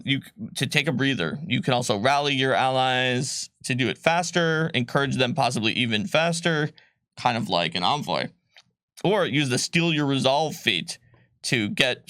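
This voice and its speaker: A man walks through tabletop rule options on a live stream in a bright, forward voice. He talks loosely and chattily, with plenty of fillers and the odd laugh, close to a dry microphone. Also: mid-range voice, lively intonation, normal speed, no echo. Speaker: game designer presenting a rulebook on a live stream. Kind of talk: casual explanatory stream chat.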